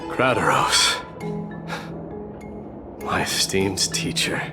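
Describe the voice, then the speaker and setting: A stern, reserved warrior prince from a fantasy game. His voice is on the low side and very measured, formal and sparing with words, over background music. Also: lively intonation, full character voice. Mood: grave, reserved. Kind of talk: restrained fantasy game acting.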